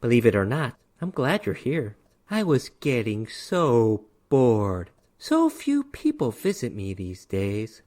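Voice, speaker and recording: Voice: mid-range voice, wildly swooping pitch, slow, darkish tone. A narrator voicing a bored, playful, menacing female demoness in a dramatic story reading. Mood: bored, dismissive.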